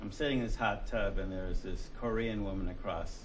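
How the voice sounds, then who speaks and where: A man's voice: fairly low pitch, steady tone, a little fast, slight background noise, low-quality recording. An American man giving a college lecture in a classroom. He talks conversationally, keeps asking "right?", and acts out people's inner voices. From United States. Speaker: college instructor.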